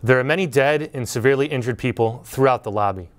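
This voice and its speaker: A middle-aged man giving a prepared graduation speech from a podium, telling a story in a measured, earnest way. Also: mid-range voice. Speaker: school speaker at graduation. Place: indoor studio before green backdrop.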